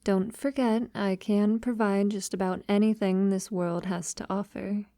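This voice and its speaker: A woman playing a tender, devoted vampire lover in an intimate ASMR audio roleplay, softly and breathily, often near a whisper, with hesitant pauses. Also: medium pitch, steady tone, no echo, studio quality, close to an everyday voice. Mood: affectionate, reassuring. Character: tender, devoted female vampire lover, wealthy and long-lived.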